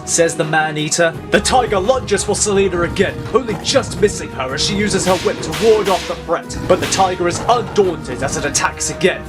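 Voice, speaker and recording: Higher-pitched male story narrator over music. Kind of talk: scripted dramatic narration. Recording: music bed under the voice.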